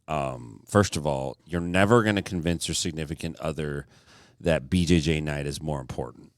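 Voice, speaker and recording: A man's voice: extremely low pitch, normal speed, no room sound, studio quality. A middle-aged man co-hosting a podcast in casual banter, joking and putting on voices when he quotes people. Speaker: podcast co-host.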